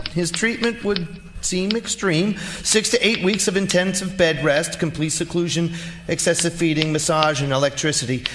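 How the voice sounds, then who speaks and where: A man playing a pompous Victorian gentleman, reading a formal letter precisely in live radio theater. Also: a little high, lightly acted. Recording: noisy live stage recording with clicks.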